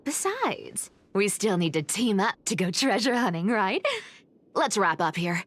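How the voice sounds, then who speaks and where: Sly, lively young trickster demigoddess. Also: female voice, medium pitch. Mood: playful. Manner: playful, teasing, drawn-out delivery. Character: sly, teasing young female trickster demigod and treasure hunter. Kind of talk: anime-style game voice acting. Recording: music behind the voice.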